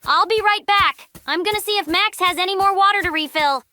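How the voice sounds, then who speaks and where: A woman's voice: very high voice, fairly quick, bright, forceful tone, no room sound. A woman voicing a casual, chatty young girl helper in a comic dub.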